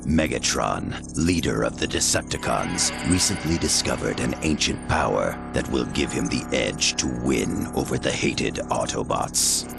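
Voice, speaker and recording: Very deep gravelly robot war narrator. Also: male voice, animated, unhurried, hardly any pauses, loud music behind the voice, exaggerated voice. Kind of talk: scripted game narration and character acting.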